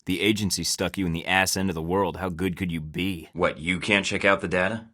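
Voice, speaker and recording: A man's voice: deep voice, fairly even intonation, brisk, sharp tone, no room sound. An actor with an American accent playing a sarcastic young male spy agent in a video game, trading dry, wisecracking quips. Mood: wry.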